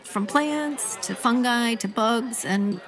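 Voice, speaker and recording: A woman acting in an audio drama as a thoughtful academic, reasoning in a considered, gently contradicting way. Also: a little high, slow pace, faint music behind, a faint crowd. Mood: thoughtful disagreement. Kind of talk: naturalistic audio drama dialogue.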